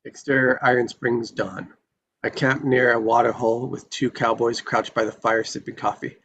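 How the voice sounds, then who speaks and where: A man's voice: mid-range voice, steady tone, moderate pace, noisy background. A man reading screenplay stage directions in a dramatic narrating style at a table read.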